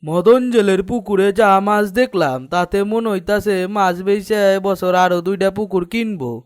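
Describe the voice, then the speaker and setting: A man's voice: sits high, moderate pace, darkish tone, soft background music, natural voice. A man voicing a sly, greedy older village headman in a cartoon, speaking in an East Bengali dialect with a self-important, conspiratorial manner. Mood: sly, scheming. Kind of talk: cartoon dialogue acting.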